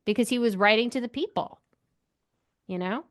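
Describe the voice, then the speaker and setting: A woman's voice: fairly high pitch, normal speed, no echo, studio quality. A woman talking casually as co-host of a book club podcast.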